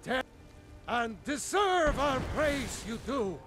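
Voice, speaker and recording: An actor playing a fervent street preacher in a fantasy video game, declaiming like an orator. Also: male voice, very high-pitched, very animated, music in the background, exaggerated voice. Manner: declamatory, ranting, slow oratory. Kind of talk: video game character acting.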